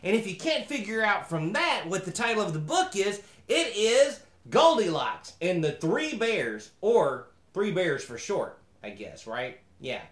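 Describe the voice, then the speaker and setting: A man's voice: sits high, big pitch swings, measured pace, few pauses, a bit bright, echoey room, full character voice. A man voicing a chatty, opinionated mule who reads a storybook with folksy, disapproving asides. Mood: chatty, eager. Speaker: host reading a storybook. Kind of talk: animated storybook reading with comic commentary.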